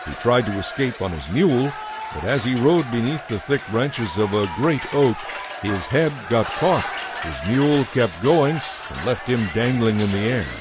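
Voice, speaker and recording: A man narrating a dramatized audiobook in a measured, solemn storytelling manner. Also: mid-range voice, noisy background. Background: faint music.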